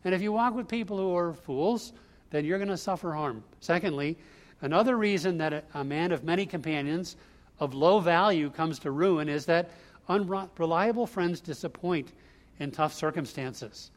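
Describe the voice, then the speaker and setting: Higher-pitched man preaching a sermon. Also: moderate pace. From US Northeast. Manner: conversational, explains line by line. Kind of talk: expository sermon.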